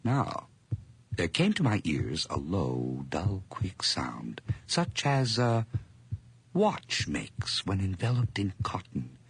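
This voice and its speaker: An actor playing a mad, nervous murderer confessing in an old radio horror drama, theatrical and intense with whispers and outbursts. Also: male voice, sits low, wildly swooping pitch, slow pace, slightly rough, no echo, exaggerated voice. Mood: hushed menace.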